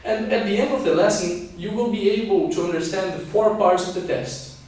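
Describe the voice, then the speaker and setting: A man's voice: high voice, a little fast, a little mellow. A man in his twenties from Scotland and Brazil giving an instructional video lesson, explaining step by step and often checking in with okay. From born in Scotland, raised partly in Brazil. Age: 20s. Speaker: English teacher and pilot giving a lesson. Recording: very echoey room, distant microphone.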